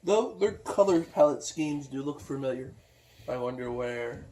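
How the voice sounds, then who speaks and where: A man voicing a deadpan, quibbling puppet critic trading comic banter. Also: medium pitch, unhurried, roomy sound, far from the mic, lightly acted, low-quality recording. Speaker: puppet show reviewer.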